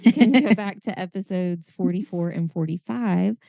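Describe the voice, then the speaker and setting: A hoarse woman, co-hosting a podcast in casual, chatty talk full of fillers. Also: fairly low pitch, moderate pace, dark tone, no room sound, studio quality. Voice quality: raspy. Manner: chatty, lots of fillers and laughs. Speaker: podcast co-host. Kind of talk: casual chat.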